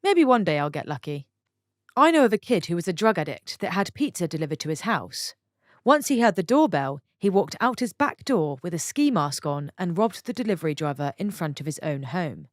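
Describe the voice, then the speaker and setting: A woman with a low, lively voice narrates stories for her channel. She breaks off for chatty, expressive asides to her viewers. The sound is close and dry. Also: fairly quick, dry recording. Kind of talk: reading online stories aloud with own comments.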